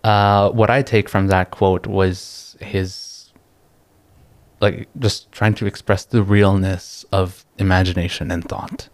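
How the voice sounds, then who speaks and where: A man's voice: low voice, no echo. Laid-back young man from the Philippines. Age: young adult. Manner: relaxed and reflective, pausing mid-thought, laughing easily. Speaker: guest. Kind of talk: casual interview chat.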